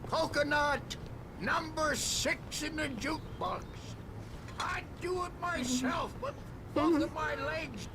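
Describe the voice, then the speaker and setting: An actor playing an eccentric, cranky but cheerful old musician with a cracked voice, in a video game. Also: male voice, very high-pitched, slow pace, noisy background. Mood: wheedling. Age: older. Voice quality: creaky, cracked.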